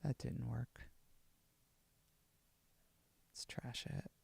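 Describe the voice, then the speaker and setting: A woman with a low voice, rambling excitably on a casual live stream as she plays bass and fiddles with her gear. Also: extremely slow, creaky, breathy edge. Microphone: streaming setup mic.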